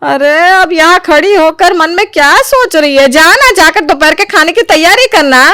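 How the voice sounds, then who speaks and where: A woman voicing a domineering middle-aged mother-in-law who scolds and complains, in an animated family moral story. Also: very high voice, moderate pace, no echo, clean studio sound, full character voice. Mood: nagging.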